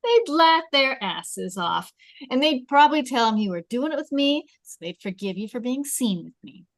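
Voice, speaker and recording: Casting: actress as argumentative, conceited popular teen girl, fairly high voice, table-read drama. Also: sing-song, normal speed. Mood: defensive, blunt. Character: popular, conceited, defensive teenage girl, bluntly honest. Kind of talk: table read of a film script.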